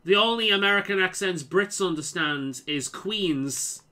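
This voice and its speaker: Bright-voiced man with a light Liverpool accent. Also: sits high, lively intonation, a little slow. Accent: mild Liverpool. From England. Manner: repeats words, stammers, restarts sentences. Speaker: game streamer. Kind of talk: casual chat while playing.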